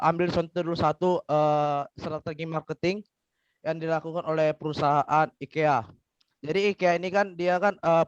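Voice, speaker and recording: Student guy w a slight cough explaining business stuff, highish smooth voice, kinda muffled. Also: no echo. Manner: explanatory, with fillers. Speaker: student presenter. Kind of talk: class debate answer.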